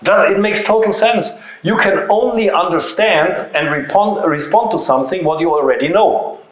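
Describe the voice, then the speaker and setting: A man's voice: sits high, moderate pace. A man with a German accent, a native German speaker, presenting informally to a seminar audience in a didactic way, with short emphatic phrases and rhetorical questions. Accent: German-speaking European. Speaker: seminar presenter, company founder. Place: indoor seminar room. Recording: very echoey, reverberant room.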